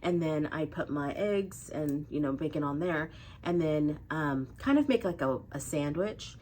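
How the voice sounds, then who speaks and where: Young woman vlogger, congested from a cold, lowish voice, chatty, faint room noise. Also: expressive, unhurried, a little mellow. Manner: chatty, talks straight to her viewers. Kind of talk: casual weight loss update.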